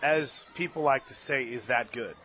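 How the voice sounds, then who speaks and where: A man's voice: mid-range voice, moderate pace, pushed and bright. An American man doing live play-by-play sports commentary on the radio from a stadium booth. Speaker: play-by-play announcer. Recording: faint music and crowd noise behind. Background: ballpark crowd and faint music.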